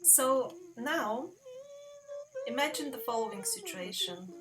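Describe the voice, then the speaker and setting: A woman's voice: high voice, sing-song, slow. A woman interviewer asking questions in a conversational style. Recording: distant mic, clear crowd noise behind.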